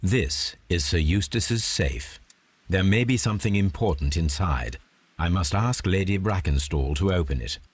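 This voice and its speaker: An actor playing a composed, analytical detective who muses aloud in a measured, precise manner in a video game. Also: male voice, low-pitched, animated, slight background noise. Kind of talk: video game cutscene acting, musing aloud.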